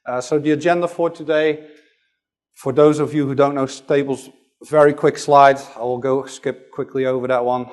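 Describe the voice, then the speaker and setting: Dutch-accented man giving a flat presentation. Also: mid-range voice, normal speed. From Netherlands. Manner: hesitant, many fillers. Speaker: conference presenter. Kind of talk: conference talk.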